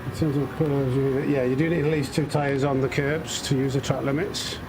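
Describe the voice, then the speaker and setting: A man's voice: mid-range voice, flat delivery, moderate pace. A man giving casual live commentary as he plays a racing game, critiquing his own driving and chatting to viewers. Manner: muttering self-critique, addresses himself and viewers. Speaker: live streamer hosting a sim racing stream. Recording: clear engine noise behind voice. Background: racing game car engine sounds.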